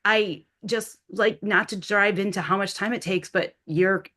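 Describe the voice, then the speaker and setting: A woman's voice: medium pitch, steady tone, measured pace, a bit bright. A woman talking informally as a podcast panel guest, in an effusive, rambling way. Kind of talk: informal unscripted panel talk.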